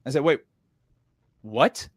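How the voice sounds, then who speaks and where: Male interview guest, American accent, animated storyteller, mid pitch, dry studio sound. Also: slightly rough, no room sound. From Sweden. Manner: animated storyteller, acts out dialogue with quick jumps in pitch.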